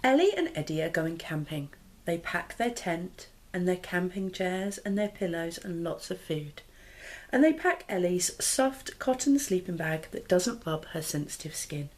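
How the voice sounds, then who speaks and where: A woman in her thirties reads a picture book aloud. Her voice sits on the lower side and moves up and down with lots of expression. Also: expressive, measured pace, darkish tone. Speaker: mother and parenting vlogger. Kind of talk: expressive children's storybook reading. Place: small living room, indoors.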